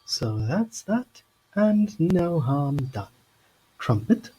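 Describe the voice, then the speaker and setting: An actor playing a fussy, evasive middle-aged government minister in an audio drama, with a pompous, formal manner. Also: male voice, a little high, sing-song, drawn-out words, dark tone, slightly rough, full character voice, low-quality recording. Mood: placating.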